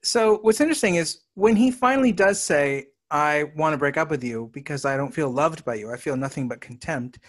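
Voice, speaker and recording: A man interviewing an actress, asking measured questions and murmuring agreement as she talks. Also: on the high side. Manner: measured questions, frequent "mm-hmm" backchannels. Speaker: interviewer, writer and director.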